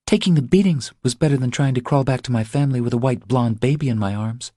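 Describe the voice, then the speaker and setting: Audiobook role: trapped, defiant young mother played by a male narrator, raised husky voice, pleading confessions in short phrases. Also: medium pitch, quick pace, mellow, dry recording, studio quality, voice pushed up, close to an everyday voice. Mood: bitter, pleading.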